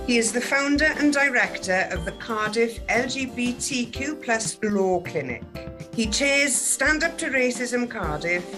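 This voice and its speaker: A woman, hosting a podcast and interviewing in a measured, orderly way. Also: medium pitch.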